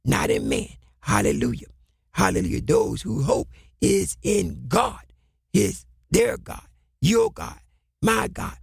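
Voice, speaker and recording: Male preacher, very hoarse-edged rasp, wildly swooping pitch, fervent exhortation, repetitive phrasing, bursts into shouts. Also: big pitch swings, slow pace, no room sound, studio quality. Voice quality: raspy, gravelly. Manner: impassioned, repetitive call-and-response phrasing, swinging into shouts.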